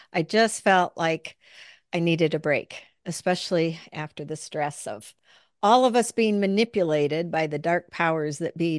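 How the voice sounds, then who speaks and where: A woman hosting a casual podcast conversation, talking loosely with plenty of ums and pauses while she looks for words. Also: medium pitch, smooth, no room sound, clean studio sound. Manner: chatty, searching for words with many fillers. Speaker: podcast host.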